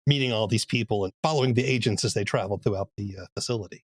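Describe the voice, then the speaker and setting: A man interviewing podcast creators in a relaxed, musing way. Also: lowish voice, quick pace, dry recording. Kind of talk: casual interview.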